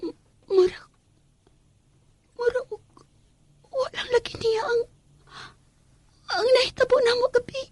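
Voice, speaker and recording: Lovesick housemaid, Visayan Filipino accent, very high pitch, unhurried, tearful radio drama delivery. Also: female voice, darkish tone, no echo. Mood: tearful longing. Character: lovesick housemaid, a mother pining for her master. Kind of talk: radio drama acting with confessional narration.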